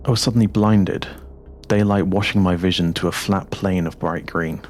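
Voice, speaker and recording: A man reading a scripted dark science fiction story as its narrator, in a measured, deliberate literary way. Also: low voice, steady tone, slight background noise. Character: introspective four-legged machine with a human pilot's mind. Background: music under the voice.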